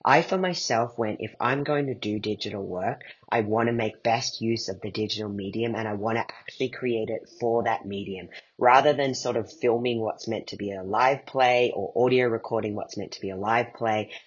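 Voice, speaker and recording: Male interview guest, slightly low and raspy, thoughtful and reflective, on a muffled recording. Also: normal speed, hardly any pauses. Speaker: guest, playwright and podcast creator. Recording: dull, muffled lo-fi sound.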